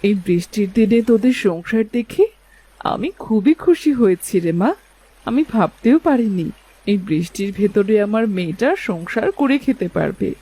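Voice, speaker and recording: Cartoon mother-in-law, middle-aged villager, played by a woman; gentle, grateful, medium-pitched husky voice. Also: expressive, moderate pace, rough recording. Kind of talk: cartoon dialogue.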